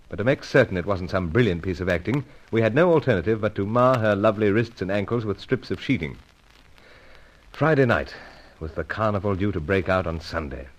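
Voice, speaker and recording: Radio adventure hero and narrator: hard-driving British agent, lowish voice, dramatic swings, clipped melodrama. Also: male voice, poor audio. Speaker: lead actor and narrator. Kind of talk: old-time radio adventure serial acting and narration.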